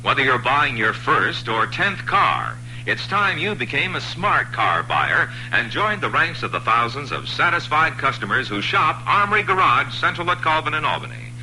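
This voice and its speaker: Bright, bouncy radio ad announcer man. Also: mid-range voice, very animated, moderate pace, hardly any pauses, slight background noise, rough recording. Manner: punchy, emphatic salesman delivery, stressing each rule. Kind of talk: scripted radio ad read.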